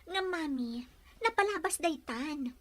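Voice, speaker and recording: Audio drama casting: woman as distressed young Filipino daughter, extremely high-pitched, sharp tone. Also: expressive, measured pace. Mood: distressed, pleading. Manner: plaintive, questioning. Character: distressed young daughter pleading with her mother. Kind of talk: Ilocano audio drama acting.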